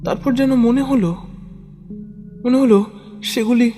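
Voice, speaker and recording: Guy acting as tantric mystic mid ritual, awed, halting, pitched up, swimming in reverb. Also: steady tone, mellow. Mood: awed, startled. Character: tantric practitioner man, awestruck, straining through a ritual. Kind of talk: audio story dramatic monologue. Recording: long reverb, music bed underneath.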